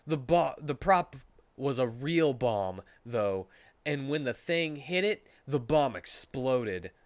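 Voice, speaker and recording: A man's voice: medium pitch, dry recording. A man reading a story aloud as a comedic dramatic performance, sometimes stumbling over the words.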